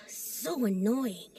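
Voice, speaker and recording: A woman's voice: medium pitch, mellow, dry recording, far from the mic, exaggerated voice. A woman playing a whiny, cocky young boy in a video game, with a boyish, reluctant, grumbling voice. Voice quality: boyish, childlike. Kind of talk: video game character acting.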